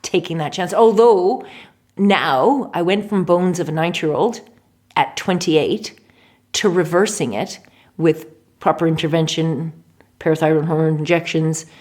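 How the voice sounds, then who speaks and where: A woman in her forties from Canada, a physiotherapist guest telling stories in a chatty, animated way in a casual interview. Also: low voice. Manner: chatty, animated storytelling with laughs.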